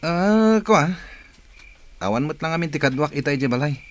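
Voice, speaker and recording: A man's voice: on the high side, expressive, moderate pace, lightly acted. A man acting a sly, hesitant young grandson who wheedles and cajoles in a radio drama. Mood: evasive.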